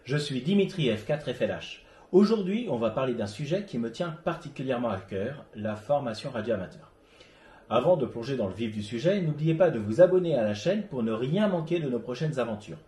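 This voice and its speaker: A man's voice: mid-range voice, animated, fairly quick, mellow, reverberant. A man hosting an amateur radio web show, presenting from a prepared script. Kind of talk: scripted presentation, read narration.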